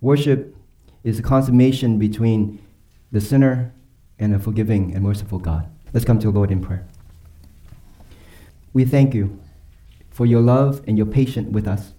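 A man preaching a sermon and leading a closing prayer, earnest and emphatic, slowing down and dropping softly on key phrases. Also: on the low side. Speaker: pastor.

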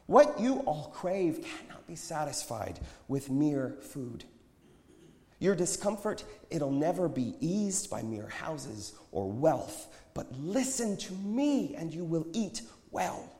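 A man's voice: on the high side, very animated, takes it slowly, dark tone, pitched above the performer's own voice, heavily acted. A man playing a prophet who preaches with tender yet challenging, pleading conviction in a dramatized scripture story. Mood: impassioned, pleading. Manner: declamatory, pleading, pointed. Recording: echoey hall with long reverb.

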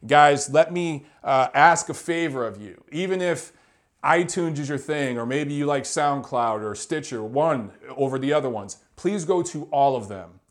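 Man, show host, casual chat, easygoing, slightly high voice. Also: a little slow. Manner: relaxed and chatty, with fillers. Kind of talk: casual talk to camera.

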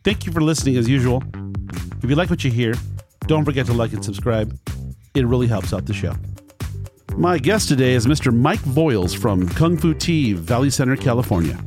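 A man's voice: mid-range voice, expressive, a little fast, over music. A man in his fifties hosting a casual food podcast, telling long, wandering anecdotes. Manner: rambling storyteller, wandering anecdotes. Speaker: podcast host. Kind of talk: casual interview chat.

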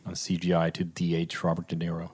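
A man's voice: low-pitched, monotone, a little fast, darkish tone, slightly rough. A man co-hosting a film podcast, chatting casually in an informal, opinionated, slangy way.

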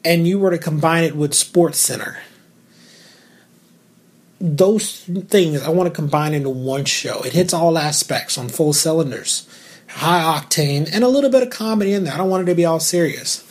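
A man with a naturally raspy voice, hosting his own talk show alone, talking candidly and cracking asides. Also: on the high side, normal speed. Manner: candid and joking, with asides and filler words. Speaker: podcast host. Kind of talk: solo casual talk show.

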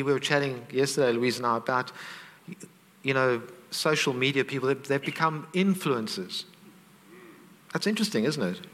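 A man's voice: mid-range voice, a bit bright, long reverb tail. A man preaching a sermon, earnest and conversational, with asides to the congregation.

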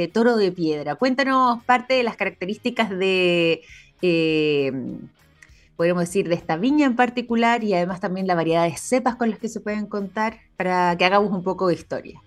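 A woman with a Chilean accent hosting a relaxed morning interview, chatty and full of filler pauses. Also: mid-range voice. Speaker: host.

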